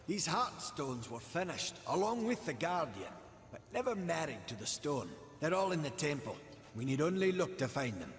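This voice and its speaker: Hearty, lively fantasy craftsman. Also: fairly high pitch, normal speed. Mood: grave, explanatory. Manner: archaic, hearty phrasing. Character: hearty, gruff male maker, a fantasy craftsman. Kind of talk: video game cutscene acting. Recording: long reverberant hall, loud music.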